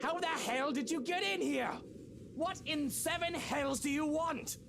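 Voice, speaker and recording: A woman's voice: high voice, unhurried, creaky, over music, voice pushed up. Give me a woman playing a furious rude man woken at dawn, scornful and snapping. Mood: angry.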